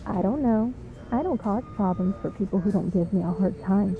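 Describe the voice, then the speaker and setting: A woman's voice: medium pitch, normal speed, phone-quality sound, close to an everyday voice. Woman acting a smug, sarcastic supervillainess. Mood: smug teasing. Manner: breezy, sarcastic teasing. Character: cheeky, smug woman supervillain, frequent hospital patient. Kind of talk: comedic audio roleplay acting.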